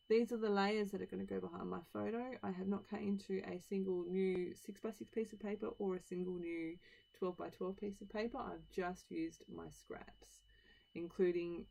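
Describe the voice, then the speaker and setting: Croaky woman chatting over a crafting video. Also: lowish voice, darkish tone, rough recording. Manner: chatty, self-deprecating, rambling asides. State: croaky from a cold. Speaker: scrapbooking channel host. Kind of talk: casual process-video voiceover. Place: home, recorded as a voiceover.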